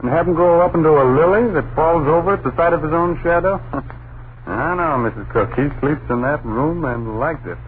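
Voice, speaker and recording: Man acting a gruff American husband, tinny old radio. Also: a little high, slight background noise, natural voice. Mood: drowsy, dismissive. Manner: gruff, slangy, drawn-out exasperated reassurance. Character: sleepy, dismissive husband and father in a spooky old house. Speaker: radio drama actor.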